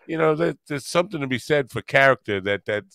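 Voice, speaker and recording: A man's voice: medium pitch, lively intonation, fast, creaky, fairly bright tone, no echo. An older man chatting casually as an interview host, speaking into a close studio microphone. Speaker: podcast host. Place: small home studio, indoors. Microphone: close studio mic on stand.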